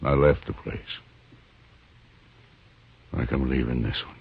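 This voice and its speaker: Haunted American homesteader, extremely low husky voice, halting rustic speech, 1950s western radio drama. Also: male voice, fairly even intonation, exaggerated voice. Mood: bitter, resigned. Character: grieving, luckless, tough homesteader, a haunted man. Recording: muffled, narrow-band 1950s radio recording.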